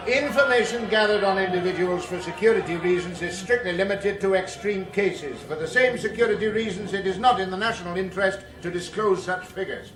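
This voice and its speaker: An actor playing a pompous government minister, orating in measured, declamatory style in a radio drama. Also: male voice, high voice, natural voice. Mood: stonewalling. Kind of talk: radio drama acting as parliamentary speech. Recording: echoing chamber with loud crowd.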